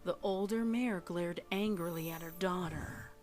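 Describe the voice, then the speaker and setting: Lowish-voiced woman narrating over loud music. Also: unhurried. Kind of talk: scripted dramatic story narration.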